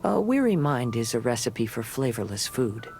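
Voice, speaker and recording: A male actor voicing a calm, refined young wandering samurai poet in a video game, speaking in measured, formal, poetic phrases. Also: medium pitch, faint music behind. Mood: calm, reflective. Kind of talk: video game character voice lines.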